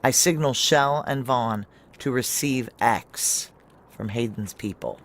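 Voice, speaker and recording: An actor playing a guarded, wry security chief in a noir audio drama, narrating and speaking in a clipped deadpan. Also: male voice, mid-range voice, slow, natural voice.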